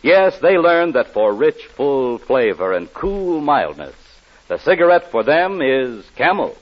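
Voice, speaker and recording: A man delivering an emphatic, folksy 1940s radio advertising pitch as a commercial announcer. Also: sing-song, a little slow. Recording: muffled old radio recording.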